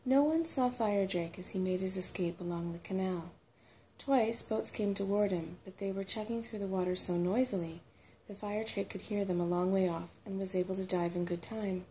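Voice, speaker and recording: A woman calmly reading a storybook aloud as unhurried ASMR narration. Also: mid-range voice, slight background noise, low-quality recording. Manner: calm, unhurried.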